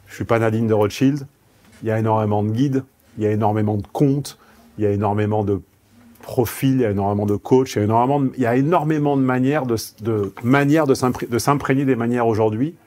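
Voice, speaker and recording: A man giving a paid seminar, speaking frankly and with salty language. He illustrates his points with personal anecdotes. His voice sits at a middle pitch. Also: brisk, a little mellow. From southeastern France. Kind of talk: seminar talk.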